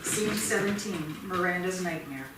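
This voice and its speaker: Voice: medium pitch, measured pace. A person reading scripted stage directions aloud at a staged reading of a play. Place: theatre stage, recorded from a distance. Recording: distant mic, echoey theatre, clear noise.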